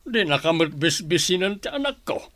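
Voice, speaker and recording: A man's voice: fairly high pitch, big pitch swings, normal speed, a bit bright, no room sound. A man acting as an older, stern father-in-law in a radio-style drama, challenging and defensive of his son. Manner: challenging, disapproving.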